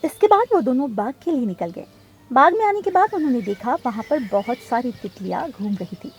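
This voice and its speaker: A woman's voice: sits high, sing-song, brisk, darkish tone, slightly rough. A woman narrating a children's cartoon story from a script.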